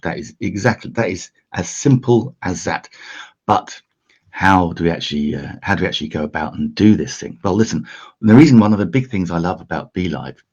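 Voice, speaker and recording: A deep-voiced man from the UK hosts a casual how-to live stream from a home setup. His voice is croaky and husky, and he rambles along with fillers and restarts. The desk-mic sound is slightly dull. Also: animated, a little fast, a little mellow. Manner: chatty, filler-heavy, restarts often. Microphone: desk USB microphone.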